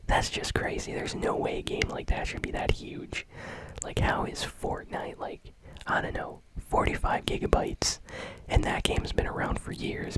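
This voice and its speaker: A young man hosting gaming news in a soft, close-miked ASMR whisper, chatting casually. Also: low-quality recording. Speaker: host.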